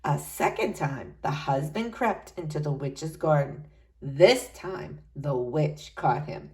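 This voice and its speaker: A woman reading a fairy tale aloud as an expressive storyteller. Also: deep voice, slow.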